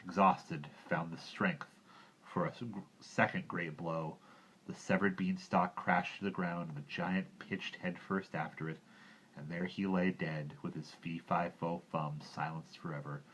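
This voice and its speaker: Low-voiced man reading a fairy tale. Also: a bit bright, slight background noise, poor audio. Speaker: storybook reader. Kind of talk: expressive children's story narration.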